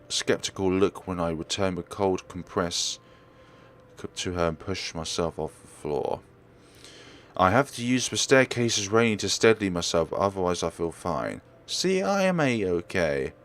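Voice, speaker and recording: A man with a low voice narrates a visual novel for a let's play. His delivery is expressive and swings widely in pitch, with small stumbles as he reads. Some background noise sits behind him. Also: measured pace. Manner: expressive, stumbles and corrects himself while reading. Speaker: let's player. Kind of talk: reading visual novel text aloud with acted dialogue.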